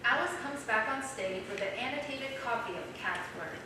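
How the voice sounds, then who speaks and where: A woman's voice: on the high side, animated, moderate pace. Woman reading stage directions, very echoey, noisy. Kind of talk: scripted narration for a staged play reading. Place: small theater, recorded from the audience. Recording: distant, very echoey, loud noise, theater recording.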